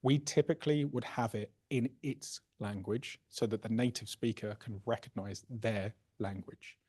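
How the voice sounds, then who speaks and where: A man presenting a software workshop, casually explaining a live demo and often checking in with "right?" and "okay?". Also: lowish voice, fairly even intonation, moderate pace, slightly rough. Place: workshop room.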